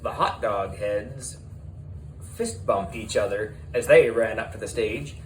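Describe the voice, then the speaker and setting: A man narrating a children's audiobook in a lively storytelling style. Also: on the low side, unhurried, slight background noise, low-quality recording.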